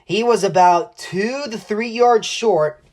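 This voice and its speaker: A man's voice: high-pitched, slow pace, darkish tone. Young man calling football play-by-play. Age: young adult. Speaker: sportscaster, play-by-play announcer. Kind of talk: American football play-by-play commentary.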